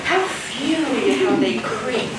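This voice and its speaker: Intense, eccentric lady reciter for a stage play, female actor, high voice, distant in a very noisy hall. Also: expressive, slow. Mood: anguished, declaiming. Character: odd, intense female guest who recites dramatically. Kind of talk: amateur stage play acting. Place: stage of a school theater, distant microphone. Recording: very echoey, noisy hall from far off.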